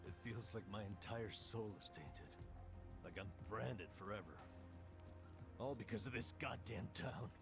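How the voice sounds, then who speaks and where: A bitter, guilt-ridden video game character with a low voice. He is blunt and jaded, and his delivery rises and falls dramatically. Music sits behind the lines. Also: lively intonation, a little slow, gravelly. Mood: anguished, bitter. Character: bitter, guilt-ridden, world-weary man pushing his friend away.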